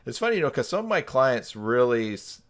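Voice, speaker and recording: A man's voice: medium pitch, big pitch swings, brisk. A man hosting an informal live group video chat, talking in a casual, rambling way. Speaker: live stream host.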